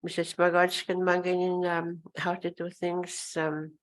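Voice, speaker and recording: An older woman elder whose first language is Oji-Cree, speaking slowly and hesitantly at a gathering, often pausing and clearing her throat. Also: lowish voice, flat delivery. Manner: slow, hesitant, many pauses and throat clearing. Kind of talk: informal talk at a gathering. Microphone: handheld microphone.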